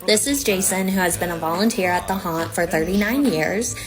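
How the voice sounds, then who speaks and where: A woman's voice: fairly low pitch, a little fast, low-quality recording. A woman reporter giving first-person scripted video narration. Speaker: newspaper reporter. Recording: voice-over with music bed. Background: music.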